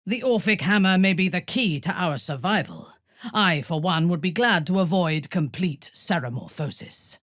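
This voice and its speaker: A woman playing a haughty, ruthless dark elf warrior in a video game, speaking in an imperious, deliberate, measured way. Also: on the low side, animated, dry recording, clean studio sound. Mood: cool, measured. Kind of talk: dramatic video game character acting.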